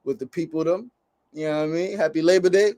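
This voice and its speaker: A man's voice: a little high, steady tone, quick pace, dark tone. A man, hosting a casual livestream show, rambling and upbeat, tagging "right?" onto his points. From United States. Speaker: podcast host.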